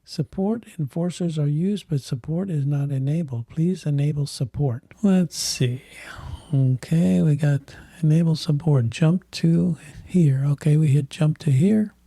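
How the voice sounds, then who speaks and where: Guy losing his voice, gravelly and dark, dry close sound, halting walkthrough. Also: fairly high pitch, no echo. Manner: halting, thinking aloud while clicking. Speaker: tech channel host. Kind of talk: casual screen walkthrough.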